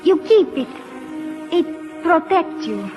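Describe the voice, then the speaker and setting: A shy, earnest Mexican girl speaks halting English in a high, light voice. It is old radio drama with faint music underneath. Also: very animated, exaggerated voice. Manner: hesitant broken English, soft pleading. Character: earnest, timid young Mexican village girl. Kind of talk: 1940s radio acting.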